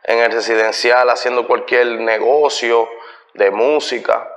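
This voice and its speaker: A middle-aged man with a Puerto Rican Spanish accent, talking as a guest in a casual interview, laid-back and unhurried, recorded in a bright living room from a far camera. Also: medium pitch, fairly even intonation. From Puerto Rico. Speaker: guest, musician. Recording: lo-fi sound with long room reverb.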